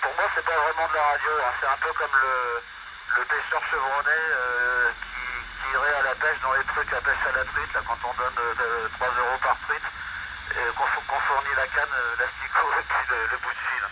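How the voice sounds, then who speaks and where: A man's voice: medium pitch, steady tone, moderate pace. An older man chatting casually over amateur radio, giving reflective, opinionated views through a two-way FM link. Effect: two-way FM radio transmission. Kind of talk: casual ham radio conversation. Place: in a vehicle, mobile station. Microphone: radio transceiver microphone. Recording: muffled, very noisy FM radio link.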